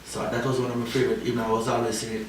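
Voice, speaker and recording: A man's voice: mid-range voice, monotone, rapid-fire. A man from Jamaica with a Jamaican accent, a reggae artist in a casual interview who rambles and keeps tagging his sentences with "you know?". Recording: echoey, distant, faint noise.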